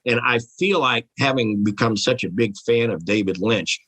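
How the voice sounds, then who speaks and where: A man with a mid-pitched, somewhat husky voice speaks as a podcast guest. He talks conversationally and haltingly, working through his thoughts as he goes. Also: fairly bright tone. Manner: musing, halting, thinking aloud. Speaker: guest author.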